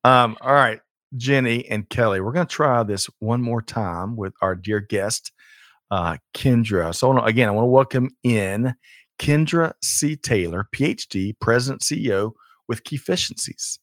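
A man's voice: medium pitch, normal speed, fairly bright tone, no room sound, clean studio sound. A man hosting a show, upbeat and chatty, often correcting himself. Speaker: show host. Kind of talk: podcast hosting.

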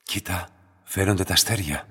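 An actor playing a calm, enigmatic middle-aged laboratory master in a radio drama, measured and quietly insistent, with a husky voice. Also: male voice, heavily acted. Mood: calm, assured. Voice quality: deep, husky. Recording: long hall-like reverberation.

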